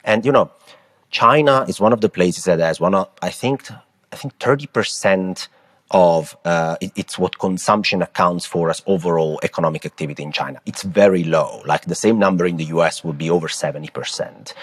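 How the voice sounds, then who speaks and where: A man with an Italian accent co-hosting a podcast, talking through economic data in a lively, emphatic way. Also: low voice, fairly quick, breathy edge. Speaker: podcast co-host. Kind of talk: casual market discussion.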